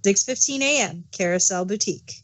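A woman's voice: medium pitch, brisk, no echo, rough recording. A woman reading scripted narration as a narrator.

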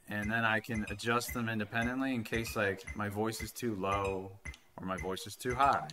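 A man in his thirties casually talking through a retro handheld game he is playing, rambling and swearing now and then, on a desk mic at home. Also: lowish voice. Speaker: retro gaming channel host. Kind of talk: casual gameplay commentary.

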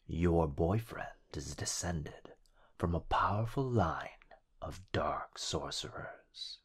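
Casting: male actor as a teasing, possessive shadow being, deep husky whisper, unhurried, for ASMR roleplay. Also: animated, heavily acted. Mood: teasing, sinister. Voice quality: breathy, whispery. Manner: drawn-out, menacingly sweet delivery. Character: possessive, teasing living shadow of a sorcerer boyfriend.

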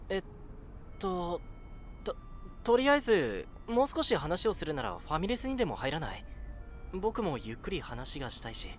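An actor playing a lovesick, awkward nineteen-year-old in an audio drama, introspective and self-deprecating. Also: male voice, sits high, very animated, normal speed, a little hiss or hum. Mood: flustered, awkward. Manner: introspective monologue, flustered in dialogue. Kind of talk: audio drama acting with first-person narration.

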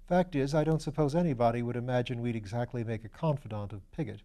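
A man's voice: medium pitch, fast, warm tone, close to an everyday voice. A man voicing a flippant, shrewd aristocratic detective, breezy and assured, in a storybook reading. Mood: urgent, assured. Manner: breezy, self-deprecating, assured.

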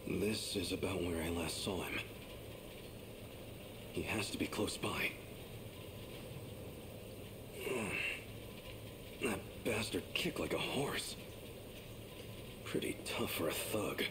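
An actor playing a stoic, proud young drifter and fighter in a video game, speaking in a dry, self-assured way. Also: male voice, low voice, slow pace, long pauses, noisy background. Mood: muttering, wary. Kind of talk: English video game dub acting. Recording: loud game music underneath.

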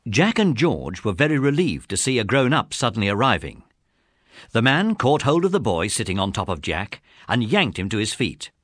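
English guy narrating a kids audiobook, lively pitch, really dry recording. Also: normal speed, no room sound. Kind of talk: scripted children's story narration.

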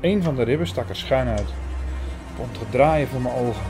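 A man's voice: mid-range voice, animated, normal speed, music in the background. A man reading scripted first-person narration for a thriller audio drama.

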